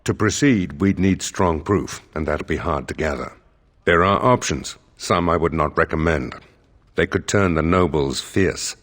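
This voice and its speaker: Deep voiced fantasy game steward, dutiful and careful, formal measured advice to his lord. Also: fairly bright tone, exaggerated voice. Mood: cautious, confiding. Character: dutiful, wary seneschal advising his new commander. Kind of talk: scripted fantasy game dialogue.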